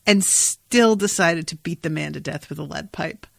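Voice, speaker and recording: A woman hosting a podcast, casually recapping an episode in an animated, amused, sometimes sweary way. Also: low-pitched, normal speed, vocal fry, dry recording. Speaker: podcast host.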